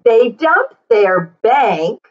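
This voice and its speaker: Middle aged lady doing kids read aloud, highish voice, encouraging and sing-songy, bit muffled recording. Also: extremely slow. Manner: animated, exaggerated sing-song delivery with encouraging asides. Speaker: children's story reader and teacher. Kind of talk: read-aloud for young children, repeating lines slowly. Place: small plain indoor room.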